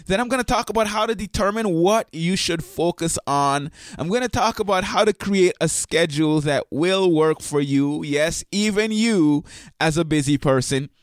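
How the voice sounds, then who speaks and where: Male podcast host, hoarse and chatty, fairly high voice, dry close mic. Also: moderate pace, no room sound. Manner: upbeat, chatty, jokes at himself. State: hoarse, deeper than usual from a throat problem. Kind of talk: casual podcast talk.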